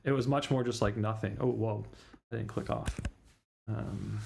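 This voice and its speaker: A man's voice: fairly low pitch, fairly even intonation, moderate pace, gravelly, background noise. A man livestreaming a visual novel readalong, chatting casually and thinking aloud in a rambling way. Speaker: streamer reading visual novels.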